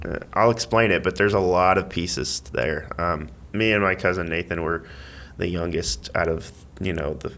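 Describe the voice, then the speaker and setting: A man chatting casually as a podcast guest, in a halting, rambling way as he thinks aloud. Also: low voice, fairly even intonation, a bit bright, a little hiss or hum. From Missouri, United States. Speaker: podcast guest, amateur athlete and school IT worker. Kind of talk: casual interview chat.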